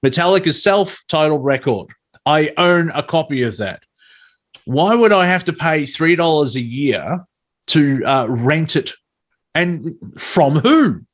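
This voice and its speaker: Higher-voiced man, joking talk show co-host. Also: expressive, a little slow. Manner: joking, wisecracking. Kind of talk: casual comedic banter.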